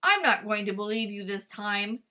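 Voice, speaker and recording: A greedy, gullible fox who growls and blusters loudly, as a woman acts him out. His voice stays bright and forceful, snarling at his prey. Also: medium pitch, animated, moderate pace. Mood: blustery.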